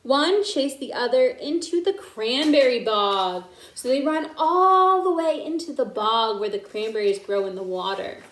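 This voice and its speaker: A woman hosting children's story time, reading a picture book aloud and explaining it simply in an animated way. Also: sits high, a little slow, few pauses. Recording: echoey room.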